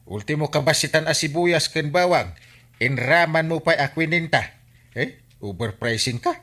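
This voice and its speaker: A man's voice: fairly high pitch, expressive, normal speed, bright, forceful tone. A man playing a complaining village customer in an Ilocano comedy radio drama, grumbling and protesting with an Ilocano accent. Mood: indignant. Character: complaining village customer disputing an overpriced shared bill.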